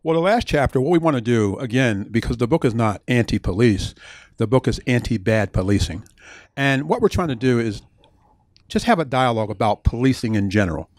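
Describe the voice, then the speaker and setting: A man's voice: mid-range voice, lively intonation, a little fast, no echo, clean studio sound. A middle-aged man speaking earnestly at a book event as the author, explaining his points with asides and restarts. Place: bookstore event space.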